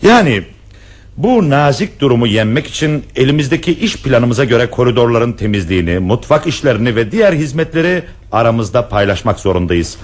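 Animated, harried professor father. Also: medium pitch, a little fast. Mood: pleading, flustered. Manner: polite, pleading, formal. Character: gentle, harried widowed professor, father of four. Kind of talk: Turkish radio theatre acting.

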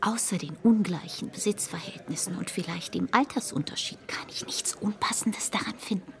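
An actress playing a motherly older friend who eagerly shares a hushed suspicion, in a radio play. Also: mid-range voice, moderate pace, creaky, in a whisper, close to an everyday voice. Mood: confiding.

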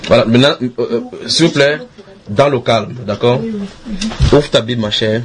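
A man's voice: mid-range voice, moderate pace, a crowd in the background. A man leading an informal Bible study at a house church, teasing and coaxing his listeners with questions. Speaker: Bible teacher. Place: house church room with people around.

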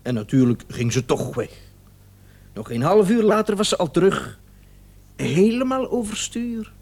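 An actor playing a talkative, smug doctor with bitter irony and theatrical, drawn-out emphasis in a Dutch radio play. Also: male voice, sits high, very animated, creaky, a little mellow, heavily acted. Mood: sardonic. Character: smug, talkative doctor, bitterly sarcastic about his unfaithful wife.

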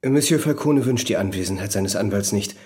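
An actor as a composed, authoritative magistrate. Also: male voice, fairly low pitch, animated, fairly quick, a little mellow, lightly acted. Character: composed, courteous male examining magistrate, authoritative. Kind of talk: radio drama dialogue.

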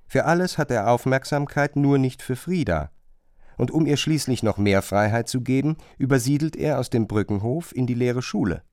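Low-pitched man, dry literary narration. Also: darkish tone, no echo. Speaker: narrator of a radio drama.

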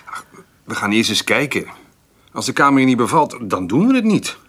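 An actor in a radio play playing a patient, dutiful grown son who speaks soothingly and a little evasively. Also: male voice, medium pitch, big pitch swings, normal speed, a bit bright, slightly rough. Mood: reassuring.

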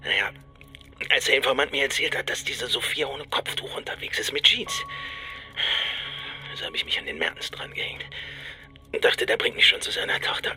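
An actor playing a rattled, distrustful police detective in a radio drama, confiding in a halting, colloquial, wry way. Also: male voice, mid-range voice, big pitch swings, bright, forceful tone, whispered, soft background music. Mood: insistent, explaining. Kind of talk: naturalistic radio drama monologue.

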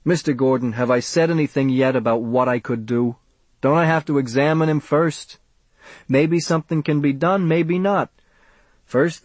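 A man voicing a fat, self-important older doctor in an audiobook, with a pompous, measured, clinical manner. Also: mid-range voice, dry recording, lightly acted. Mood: pompous, affronted.

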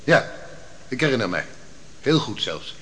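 Animated, insistent elder witness. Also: male voice, medium pitch, a little slow. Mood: insistent, assured. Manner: emphatic, repeats himself. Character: insistent male elder testifying as witness in court. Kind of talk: Dutch radio drama acting. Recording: noisy old radio drama recording.

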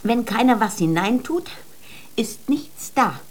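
A woman's voice: fairly high pitch, animated, slow. A woman playing a dry, wondering queen and wife in a children's radio play, terse and theatrical. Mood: dry, puzzled. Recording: clear background noise.

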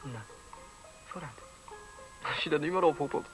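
An actor playing a dreamy, reluctant young fashion designer in a radio play, hesitant, soft-spoken and slightly affected, on a noisy old recording. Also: male voice, on the high side, moderate pace, loud music behind the voice, close to an everyday voice. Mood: admiring, yielding. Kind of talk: 1970s Dutch radio play acting.

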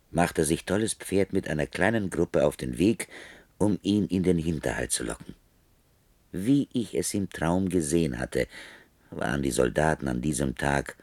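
Solemn old-west war chief for radio play, super deep n slow, measured, knowing. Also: male voice, no echo, heavily acted. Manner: solemn, measured, quietly challenging. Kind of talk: German radio play acting.

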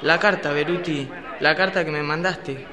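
A man's voice: a little high, moderate pace, crowd noise, lightly acted. A young man playing a friendly revolutionary veteran in an amateur student radio play. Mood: warm surprise. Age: teenager or young adult. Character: French, friendly revolutionary veteran meeting old comrade. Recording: echoey hall, music behind.

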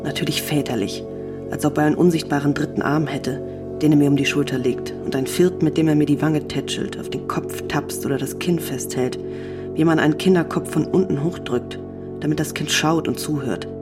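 An actress playing a brooding, coolly evasive woman journalist in a literary radio drama, musing in a flat, detached manner. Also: deep voice, a little fast, music in the background, natural voice. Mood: cool, bitter.

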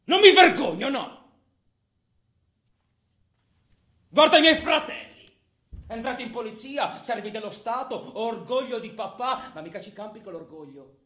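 A man's voice: extremely high pitch, animated, normal speed, reverberant, full character voice. An actor playing a bitter, boastful working-class man in a colloquial, sardonic theatrical monologue. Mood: indignant, defiant. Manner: colloquial, sardonic, swinging from muttering to shouting.

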